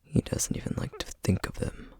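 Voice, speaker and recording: A man playing a solemn, guarded, protective flame demon in a whispered ASMR roleplay, speaking formally and hesitantly with a breathy, gravelly voice. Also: dark tone, natural voice. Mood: somber, guarded. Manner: slow, measured, formal, hesitant.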